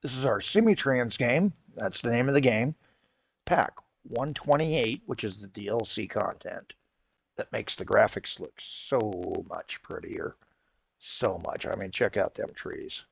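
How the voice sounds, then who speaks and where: Low gravelly man with a head cold. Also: measured pace. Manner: rambling, self-correcting, apologetic. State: raw throat. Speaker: gaming channel host. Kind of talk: casual let's-play commentary.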